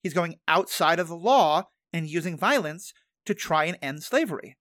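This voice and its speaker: Podcast host, mid pitch, dry close sound, talks fast in bursts, lots of restarts. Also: dry recording. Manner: animated, fast bursts, thinking aloud with restarts. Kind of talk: conversational interview.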